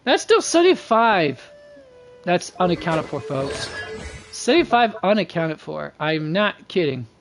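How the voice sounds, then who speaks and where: A man's voice: sits high, big pitch swings, measured pace, soft background music, poor audio. A man doing casual livestream game commentary, thinking aloud and muttering to himself in a folksy, slangy way. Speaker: game streamer.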